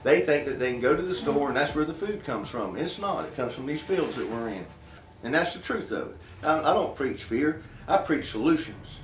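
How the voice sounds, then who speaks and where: A man's voice: on the high side, expressive, normal speed, slight background noise. A man from Florida, talking earnestly as an interview guest in a folksy, plain-spoken, emphatic way. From Florida, United States. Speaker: inventor and farm irrigation worker, interview guest. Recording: roomy, distant mic, faint music behind.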